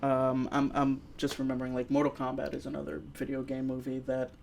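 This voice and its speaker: A man co-hosting a casual film review chat, speaking haltingly as he thinks aloud. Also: medium pitch, completely flat delivery, creaky, crowd noise. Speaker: podcast co-host reviewing films.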